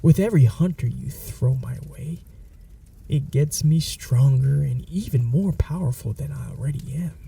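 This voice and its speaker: A man's voice: medium pitch, warm tone, far from the mic, slight background noise. A man voicing a menacing, possessive male vampire in an ASMR audio roleplay, speaking in a whispery, mocking, threatening way. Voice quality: whispery, gravelly.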